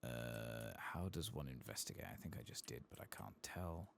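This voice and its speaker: A man softly murmuring casual, unhurried ASMR commentary over a video game, sometimes whispering, close to the microphone. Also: very deep voice, animated, creaky, a little hiss or hum. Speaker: gaming ASMR streamer.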